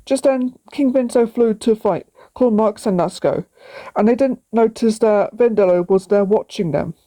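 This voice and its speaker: A woman's voice: mid-range voice, moderate pace, warm tone, no echo. A woman narrating a story aloud, sometimes stumbling and repeating words.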